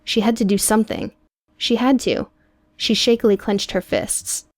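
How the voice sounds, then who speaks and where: A woman reading scripted audiobook prose in a measured, dramatic storytelling manner. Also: medium pitch, fairly even intonation, dry recording, clean studio sound. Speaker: audiobook narrator.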